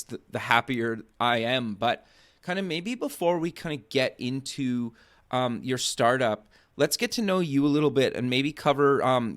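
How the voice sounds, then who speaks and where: A man hosting an interview show, conversational and inquisitive, with loosely hedged phrasing. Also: mid-range voice. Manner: hedging, inquisitive, says "kinda" often. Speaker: podcast and radio show host.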